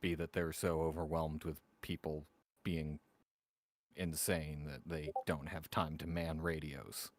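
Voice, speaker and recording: A man with a very low voice, captured dry and without echo. He speaks casually as a player in a tabletop roleplaying game. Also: flat delivery, a little slow.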